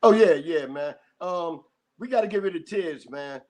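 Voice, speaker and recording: Slangy male caller, slightly high voice. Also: expressive, moderate pace. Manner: slangy, says man and bro often. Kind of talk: casual chat.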